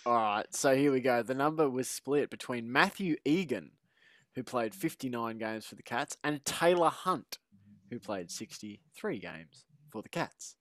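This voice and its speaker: A man's voice: medium pitch, lively intonation, unhurried, no room sound, studio quality. A man hosting a casual podcast chat, rambling and teasing his co-hosts with lots of laughter. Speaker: podcast host.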